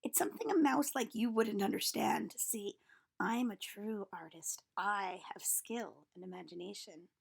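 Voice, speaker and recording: A woman reading a storybook as a self-important male artist who explains things in a haughty, measured way. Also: mid-range voice, animated, creaky, breathy edge, voice pushed down, full character voice. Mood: condescending. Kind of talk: storybook read-aloud.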